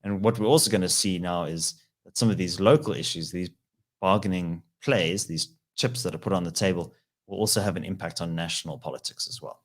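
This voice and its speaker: Deep-voiced man analysing. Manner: measured, explanatory, frequent "um" pauses. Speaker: political analyst guest. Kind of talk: podcast interview answers.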